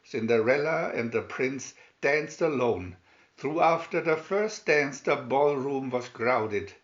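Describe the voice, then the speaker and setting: A man's voice: medium pitch, expressive, slow, lo-fi sound. A man narrating a fairy tale aloud from a storybook in a dramatic style.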